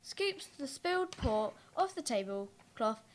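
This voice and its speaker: A woman's voice: high voice, big pitch swings, unhurried. A young woman reading stage directions as scripted narration for a play. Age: young adult.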